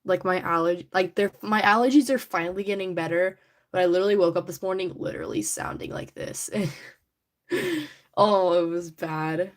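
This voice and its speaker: Chatty young woman, allergy-raspy voice. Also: fairly low pitch, moderate pace. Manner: chatty, giggly, rambling with many "like"s. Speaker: livestream host. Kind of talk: casual livestream chat while painting a figure. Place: indoors at home.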